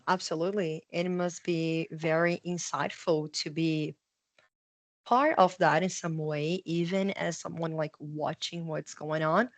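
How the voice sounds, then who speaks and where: A woman's voice: low-pitched, steady tone, measured pace, no room sound. A woman hosting a podcast interview, speaking as an immigrant, hesitant and searching for words but supportive. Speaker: podcast host, immigrant to Nova Scotia.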